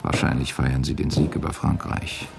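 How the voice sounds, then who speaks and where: Very deep, gravelly male narrator. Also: little pitch movement, moderate pace, music in the background. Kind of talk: scripted radio drama narration.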